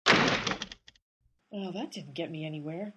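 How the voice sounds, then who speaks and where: An actress in a radio comedy playing an exasperated female amateur sleuth who talks in a brisk, bossy way. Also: deep voice, sharp tone, breathy edge, exaggerated voice. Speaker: lead character, amateur sleuth. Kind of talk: comic radio play acting.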